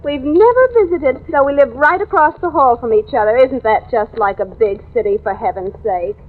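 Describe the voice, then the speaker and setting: High-voiced gossipy housewife, old radio. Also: female voice, moderate pace. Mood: chatty, neighbourly. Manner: gushing, exclamatory, gossipy. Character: chatty, gossipy married woman neighbour in a city apartment house. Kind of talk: old-time radio thriller acting. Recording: tinny, narrow-band old radio recording.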